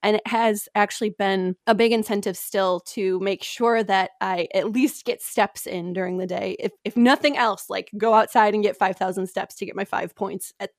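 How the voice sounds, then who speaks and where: A woman's voice: mid-range voice, fairly even intonation, moderate pace, few pauses, dry recording, clean studio sound. A young married woman, a podcast guest in casual chat, telling stories with enthusiasm and frequent giggles.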